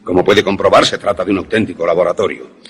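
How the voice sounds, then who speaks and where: A man playing an erudite, self-assured scientist in a suspense radio drama, explaining things formally and with deliberate pauses. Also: deep voice, steady tone, soft background music, lightly acted. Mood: proud, lecturing. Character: erudite, self-assured male scientist who creates ghost images.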